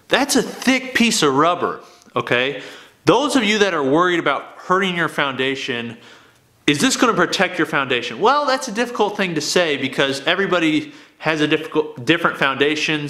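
Bright-voiced young man in an echoey garage gym. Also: a little high. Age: young adult. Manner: emphatic, keeps adding "okay?". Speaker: fitness video host. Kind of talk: casual talk to camera. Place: home garage gym, indoors. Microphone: camera mic, moving around the room.

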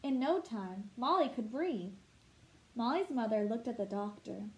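A woman with a fairly high voice reads a children's story aloud. She narrates expressively and articulates for young listeners, stepping out now and then to explain, as a teacher would. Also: expressive, unhurried, darkish tone. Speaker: teacher reading a picture book aloud. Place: indoor room at home.

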